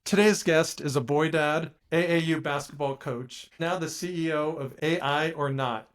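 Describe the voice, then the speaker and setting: Higher-voiced joking podcast host. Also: male voice, steady tone, moderate pace, music in the background. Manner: joking, teasing, repeats words. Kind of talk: casual chat.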